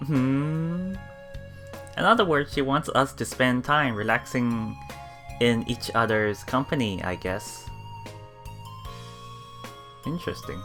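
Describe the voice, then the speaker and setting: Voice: mid-range voice, takes it slowly, long pauses, a bit bright, faint music behind. A let's player reading visual novel text aloud and musing casually on it as they go. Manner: musing, often thinking aloud.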